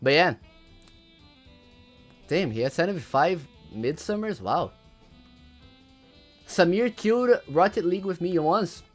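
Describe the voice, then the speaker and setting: Young male streamer, Portuguese first language, slightly high voice, rambling casual talk, faint music. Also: expressive, unhurried, pauses often. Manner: rambling, repeats words, reads chat aloud. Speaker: livestreamer. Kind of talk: casual livestream commentary.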